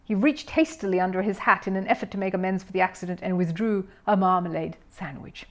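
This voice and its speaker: A woman narrator reading a children's story aloud in an expressive style. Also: mid-range voice, fast talker. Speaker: audiobook narrator.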